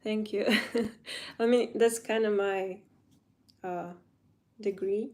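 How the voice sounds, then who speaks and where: Slow-talking Ukrainian-accented young woman. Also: medium pitch, steady tone, dark tone. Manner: halting, searching for English words. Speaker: livestreamer. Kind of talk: casual livestream chat while doing makeup.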